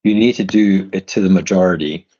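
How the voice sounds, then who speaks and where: A man's voice: sits low, brisk, warm tone, lo-fi sound. A man with a South African accent hosting an interview, rambling in casual chat with many restarts and repeated words. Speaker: podcast host.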